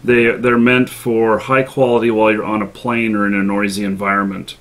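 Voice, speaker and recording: A man hosting a live gaming show in casual chat, halting a little as he thinks aloud. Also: lowish voice, flat delivery, fairly bright tone.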